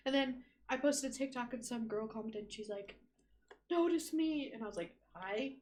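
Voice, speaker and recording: A woman's voice: fairly high pitch, lively intonation, a little mellow, a little hiss or hum. A woman in her twenties chatting as a podcast guest, upbeat and joking, in a small studio room. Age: 20s. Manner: chatty, upbeat, quick jokes. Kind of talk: casual chat.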